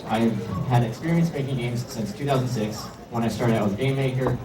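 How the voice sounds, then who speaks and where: A man's voice: medium pitch, steady tone, a little fast. A man giving an informal, slightly hesitant slide presentation to an audience as a panel speaker. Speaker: convention panel presenter, indie game developer. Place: indoor convention panel room. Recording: echoey room, distant mic, heavy noise.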